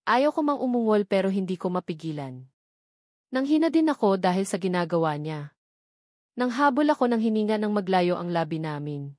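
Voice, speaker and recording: A woman's voice: mid-range voice, very animated, moderate pace, darkish tone, dry recording, studio quality. A woman reading a scripted romance novel aloud as a first-person narrator. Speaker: audiobook narrator.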